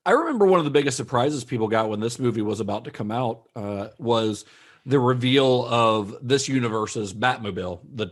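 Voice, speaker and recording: A man's voice: medium pitch, animated, fairly quick. A man co-hosting a casual film podcast, chattily explaining background research with frequent fillers. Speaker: podcast co-host.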